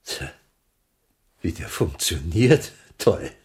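Deep, animated circus boss. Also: male voice, slow, heavily acted. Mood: casual, businesslike. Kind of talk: radio crime drama acting.

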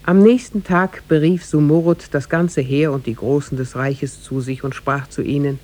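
A man narrating a tale in measured, formal storytelling style for a 1950s German radio play. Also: fairly even intonation, a little mellow. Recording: 1950s radio recording with faint rumble.

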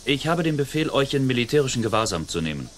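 An actor playing a dutiful army sergeant in a German radio drama, speaking formally and curtly. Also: male voice, medium pitch, very animated, quick pace, noisy background, close to an everyday voice. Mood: curt, official. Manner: formal, curt.

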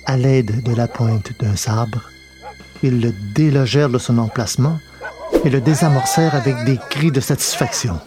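A man narrating a scripted dramatic story in a measured, literary delivery. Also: mid-range voice, over music. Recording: noticeable background noise.

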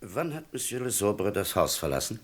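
An actor playing a shrewd, dry, authoritative police commissioner in a radio play, questioning methodically. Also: male voice, lowish voice, sing-song, normal speed. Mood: probing. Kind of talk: German radio play acting.

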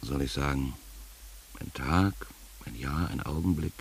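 An extremely deep, heavily creaking male voice plays a sardonic, world-weary philosopher. He muses poetically in a radio drama, with faint hiss on the recording. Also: unhurried, exaggerated voice. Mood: wry, resigned. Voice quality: gravelly. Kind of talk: poetic radio play monologue.

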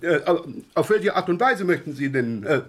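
A man plays a bumbling, eager would-be killer in a radio crime drama. His voice is high and lively, hesitant and excessively polite. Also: a little fast, natural voice. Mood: eager, flustered. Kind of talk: German radio crime play acting.

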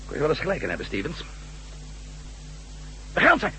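An actor playing a wry, bantering journalist and adventurer in a science-fiction radio drama. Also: male voice, a little high, wildly swooping pitch, brisk, bright, forceful tone, a little hiss or hum, heavily acted, low-quality recording. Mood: thoughtful. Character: wry adventurous male journalist, former astronaut.

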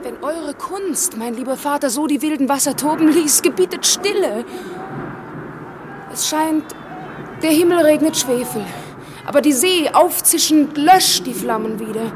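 A young actress playing a gentle, compassionate magician's daughter in a radio play, with a pleading, theatrical delivery. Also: female voice, high-pitched, a little slow. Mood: pleading, anguished. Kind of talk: classic German radio play acting. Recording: clear storm noise behind voice.

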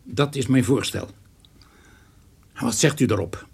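An actor in a Dutch radio play playing a shrewd, patient narcotics investigator who speaks formally and deliberately. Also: male voice, mid-range voice, creaky, slightly rough, heavily acted. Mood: calmly coercive. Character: shrewd, patient male police narcotics investigator, calmly coercive. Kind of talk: Dutch radio drama acting.

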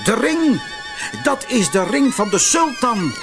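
A man's voice: extremely high pitch, very animated, slow, over music, pitched above the performer's own voice, exaggerated voice. A man voicing a brusque, demanding servant of a sultan in a storybook. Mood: alarmed.

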